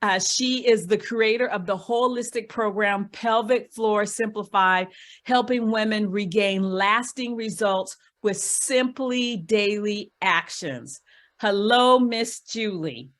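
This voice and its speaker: A woman hosting a casual live online interview, chatty and quick to affirm her guest. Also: mid-range voice, a bit bright.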